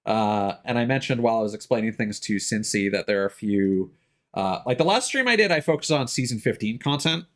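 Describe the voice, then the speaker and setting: A man's voice: lowish voice, expressive, brisk, a bit bright. A man working as a game streamer, chatting casually and explaining things in an informal way with some swearing. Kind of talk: casual livestream chat.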